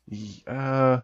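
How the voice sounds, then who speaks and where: A man's voice: medium pitch, dull audio, a little hiss or hum. A man player in a tabletop game, talking casually with a wry, hesitant manner. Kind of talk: casual play talk.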